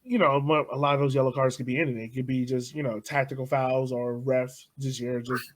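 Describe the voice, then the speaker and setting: A young man co-hosting a casual sports podcast, talking loosely with frequent laughs and soft trailing asides. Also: mid-range voice, flat delivery, brisk. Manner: loose and chatty, laughs often, trails off softly. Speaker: podcast co-host.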